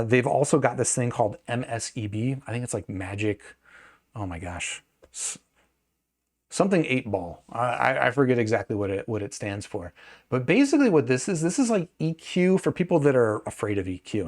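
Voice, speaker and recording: A man talks through a product review in an average-pitched voice. His delivery is casual and unscripted, conversational and a little hedging, as if working out his thoughts while he speaks. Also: a little mellow. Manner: conversational, hedging, thinking aloud.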